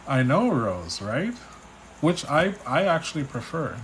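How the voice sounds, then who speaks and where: A man from Trinidad with a Trinidadian accent, a spiritual reader on a live stream, relaxed and chatty as he reads out viewers' questions. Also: a little high, unhurried, slight background noise, poor audio. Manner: relaxed, chatty, reads names and questions aloud, restarts often. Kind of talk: live stream reading, answering chat questions.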